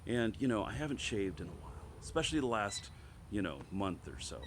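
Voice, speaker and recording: A man with a congested voice from a head cold, hosting an online show with a casual opinion monologue, halting and emphatic as he thinks aloud. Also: on the low side, big pitch swings, slight background noise. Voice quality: slightly stuffed-up, congested. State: has a head cold, clearing throat.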